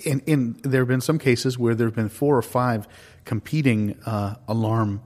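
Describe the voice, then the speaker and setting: A man improvising deadpan comic banter in a halting, musing, mock-serious way. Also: mid-range voice. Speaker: improv comedy performer.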